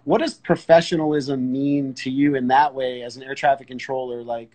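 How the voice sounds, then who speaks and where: Man, middle-aged, casual host, mid-range pitch, informal thinking-aloud questions, video call. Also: steady tone, moderate pace, a little mellow. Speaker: host, pilot interviewer. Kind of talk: casual conversational interview. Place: indoors in an armchair, video call.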